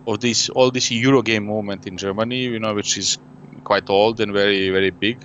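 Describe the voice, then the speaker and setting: A man with a lowish voice explains his board game design at length, leaning on "you know" and small fillers. French is the language he grew up on. The audio is dull and lo-fi, with a faint hiss underneath. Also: steady tone, fairly quick, fairly bright tone. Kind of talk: casual interview conversation.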